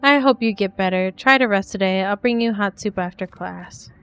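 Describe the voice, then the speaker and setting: A woman reading aloud as a young 1940s woman writing tender love letters, in a soft, heartfelt way. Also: mid-range voice, normal speed, clean tone, faint music behind, natural voice. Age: young adult.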